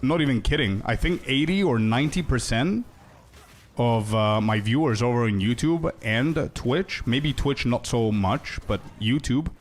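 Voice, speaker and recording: A man's voice: medium pitch, expressive, a little slow, slight background noise. A young man streaming a game, chatting loosely with his viewers and telling rambling stories. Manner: loose, chatty, talks to chat as "bro", trails into asides. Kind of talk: casual live-stream chat with viewers.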